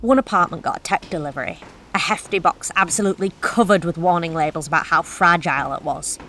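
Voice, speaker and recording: A woman's voice: lowish voice, fast, pushed and bright, a little hiss or hum. A woman playing a wry courier. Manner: wry, confiding, self-deprecating. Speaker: delivery driver narrator. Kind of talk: first-person horror monologue.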